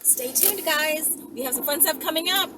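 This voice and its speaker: A woman's voice: high voice, normal speed. A woman talking casually and bluntly to her viewers, with a sassy manner, as a content creator. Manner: sassy, blunt, heavy swearing. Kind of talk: casual rant to camera, addressing viewers directly. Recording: distant mic with clear background noise.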